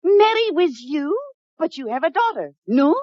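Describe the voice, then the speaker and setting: A woman's voice: high-pitched, sing-song, moderate pace, highs cut off, very noisy. A woman voicing a scheming mock French opera singer who speaks broken English with a put-on French accent, in an old radio comedy. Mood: coy, mock-grand. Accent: fake French. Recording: old radio broadcast with studio audience.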